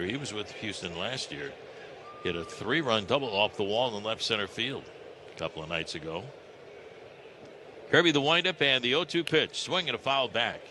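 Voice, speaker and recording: A man with an American accent calling live baseball play-by-play on the radio. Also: on the low side. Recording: ballpark ambience noise behind broadcast. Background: stadium ambience.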